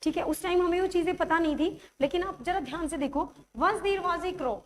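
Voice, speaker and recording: A woman teacher with a high voice leads an online grammar class, her throat sore. She keeps coaxing the class with quick check-ins and slows right down to spell out examples. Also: slight background noise. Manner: coaxing, checks understanding often; writes examples while speaking, slows to dictate them.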